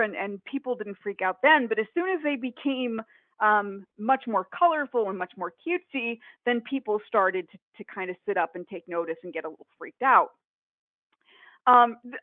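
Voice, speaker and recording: Hoarse woman presenting over a tinny line. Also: fairly high pitch, dry recording. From Northern Ohio, USA. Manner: conversational, many fillers and restarts. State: hoarse, coughing. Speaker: conference presenter. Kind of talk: webinar presentation. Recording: dry, phone-like audio.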